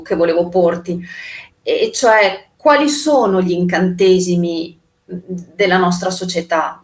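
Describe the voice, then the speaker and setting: A woman interviewer speaking conversationally, a little hesitant as she searches for her words. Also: on the low side. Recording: roomy, echoing voice.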